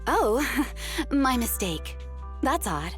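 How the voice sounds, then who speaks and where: A woman's voice: on the high side, big pitch swings, very slow, faint music behind, exaggerated voice. A woman playing a composed, strict female deputy chief in a video game, formal, precise and matter-of-fact.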